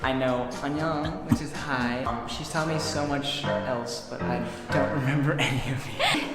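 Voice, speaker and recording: A man's voice: mid-range voice, steady tone, measured pace. A man chatting in a vlog, joking with dramatic complaints. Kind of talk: casual vlog chat. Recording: loud music over the vlog. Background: music.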